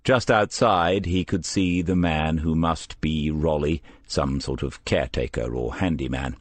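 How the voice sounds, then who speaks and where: A man's voice: a bass voice, a little slow, no room sound. A man reading a crime story as scripted audiobook narration.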